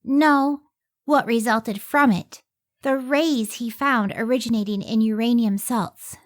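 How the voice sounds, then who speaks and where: Radio play heroine: woman acting a devoted young female scientist, slow-spoken, slightly high voice. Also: steady tone, lightly acted. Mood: intent curiosity. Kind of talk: old-time radio drama acting.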